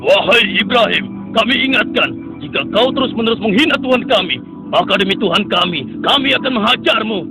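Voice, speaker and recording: A man's voice: high-pitched, lively intonation, brisk, vocal fry, music in the background, poor audio. A man voicing a stubborn male townsman who scorns and threatens the prophet in a dubbed cartoon. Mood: scornful.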